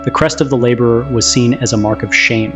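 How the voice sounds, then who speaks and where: A man's voice: mid-range voice, steady tone, brisk, over music, close to an everyday voice. An actor playing a solemn alien son narrating the story of his doomed world in dramatic scripted style.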